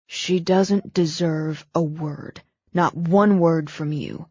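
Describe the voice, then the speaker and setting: A woman's voice: low voice, fairly even intonation, takes it slowly, darkish tone, breathy edge, no echo, muffled recording, heavily acted. Woman voicing a blunt protective man. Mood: gentle. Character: protective angry grown man.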